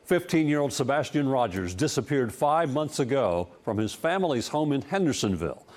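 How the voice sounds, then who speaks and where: A man's voice: medium pitch, very animated, normal speed, a little mellow. Male news anchor, very varied intonation. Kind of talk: scripted broadcast news delivery.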